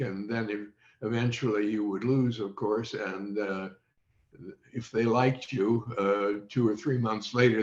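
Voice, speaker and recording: An older man from the American Midwest reminiscing in an interview, halting and pausing often mid-sentence. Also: fairly low pitch. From United States, Midwest. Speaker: interview guest recalling radio childhood. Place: indoor room on a video call. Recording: roomy, dull video-call audio.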